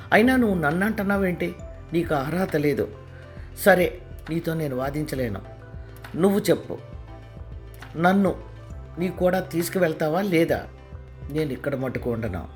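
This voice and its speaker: Brief: man putting on a demanding young wife in an audio drama; heated, high, scolding. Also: normal speed, a little mellow, soft background music, lightly acted. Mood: angry.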